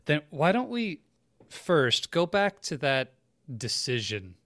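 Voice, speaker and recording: A man's voice: a little high, very animated, slow, a bit bright, no echo. A man hosting a podcast, framing questions in a conversational interviewing style. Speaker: podcast host, career coach interviewer.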